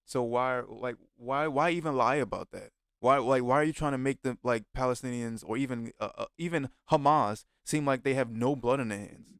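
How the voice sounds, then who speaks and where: Guy ranting over a clip he's watching, swears a lot, smooth clear voice, dry close mic. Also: mid-range voice, moderate pace, a bit bright, no room sound. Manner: blunt, heavy swearing, exasperated. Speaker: streamer reacting to a video. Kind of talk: casual reaction commentary.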